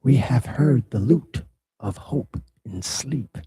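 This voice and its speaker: A man voicing a chorus of spirits of the deep in a low, whispery recitation. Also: slow pace, mellow, close to an everyday voice. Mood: whispered.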